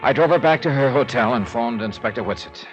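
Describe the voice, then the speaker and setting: An actor playing a world-weary, dogged American insurance investigator in a 1950s detective radio drama, narrating in clipped, terse phrases. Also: male voice, medium pitch, animated, fairly quick, lightly acted. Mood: grim, terse. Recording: muffled vintage radio recording, loud music underneath.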